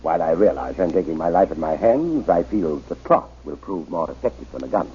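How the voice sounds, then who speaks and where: A man's voice: low voice, expressive, brisk, dark tone, breathy edge, exaggerated voice. An actor playing a pious, resolute middle-aged missionary reverend in a radio adventure serial, earnest and formal in his phrasing. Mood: solemn, resolute. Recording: muffled old radio broadcast recording.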